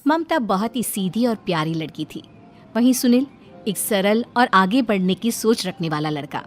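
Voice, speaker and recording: A woman giving scripted narration for an animated moral bedtime story. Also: medium pitch, normal speed. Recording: music behind the voice. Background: background music.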